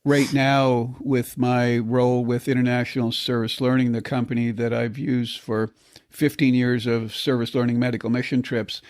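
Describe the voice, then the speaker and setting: A man's voice: mid-range voice, little pitch movement, normal speed, darkish tone, no echo. Host, male, encouraging interviewer voice, dry close sound. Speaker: podcast host. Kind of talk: interview conversation.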